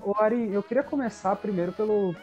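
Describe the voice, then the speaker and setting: A man's voice: sits high, fast, lo-fi sound, soft background music. A man hosting a casual podcast interview, talking in a loose, hesitant way with many restarts. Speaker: podcast host.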